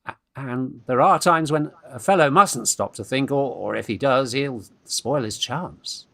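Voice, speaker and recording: An actor playing a flustered young Victorian gentleman in a radio farce, British, stammering and exclamatory, eager in courtship and bossy with friends. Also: medium pitch, unhurried, vocal fry, full character voice. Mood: nervous, wooing. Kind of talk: stage farce acting for radio play.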